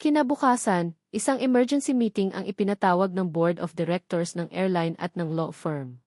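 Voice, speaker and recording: A woman's voice: lowish voice, normal speed, darkish tone, no echo. Filipino woman narrating drama, dry close sound. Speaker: narrator of a romance audio story.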